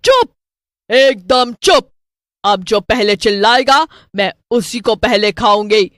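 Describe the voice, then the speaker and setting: A woman's voice: on the high side, expressive, drawn-out words, a bit bright, no room sound, studio quality, full character voice. A woman voicing a gloating, bloodthirsty witch with a menacing, cackling manner in a cartoon horror story. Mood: gloating, threatening. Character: gloating, bloodthirsty witch who preys on people.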